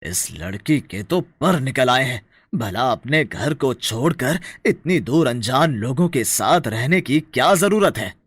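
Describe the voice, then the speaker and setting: A man's voice: medium pitch, very animated, unhurried, hardly any pauses, fairly bright tone, breathy edge, dry recording, clean studio sound, exaggerated voice. Man playing a grumbling, disapproving father. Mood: indignant. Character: disapproving middle-aged father, stern and indignant.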